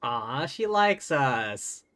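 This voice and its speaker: A man's voice: mid-range voice, big pitch swings, extremely slow, sharp tone. A man livestreaming a game, reading dialogue aloud and adding playful, wry, casual asides. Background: game music.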